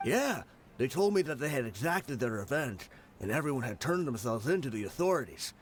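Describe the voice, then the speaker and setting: A man's voice: medium pitch, very animated, fairly quick, slight background noise, close to an everyday voice. An actor playing a defeated male desert mercenary in a video game, wry and resigned, talking expressively. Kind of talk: scripted game dialogue.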